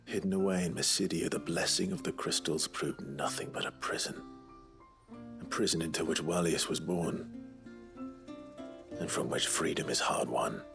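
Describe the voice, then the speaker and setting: An actor playing a grim, resolute fantasy game hero, low and gravelly, speaking in a brooding, measured way. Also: male voice, over music. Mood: grave resolve. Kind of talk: dramatic video game acting.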